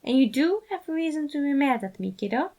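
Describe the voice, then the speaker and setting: A woman's voice: high-pitched, big pitch swings, a little fast, warm tone, voice pushed up. A woman voicing a loving, fatherly man who talks earnestly and emphatically in a fan fiction reading. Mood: earnest, reassuring.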